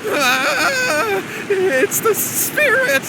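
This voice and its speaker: Anxious timid young game character, high wobbly pitch, pleading, music behind. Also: male voice, very animated, drawn-out words, very noisy, heavily acted. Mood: panicked. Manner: pleading, deferential. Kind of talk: scripted video game dialogue.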